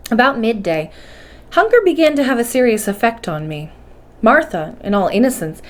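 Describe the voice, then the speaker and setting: A woman reading a bedtime story aloud with expressive, carefully enunciated narration. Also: on the high side. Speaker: storybook reader.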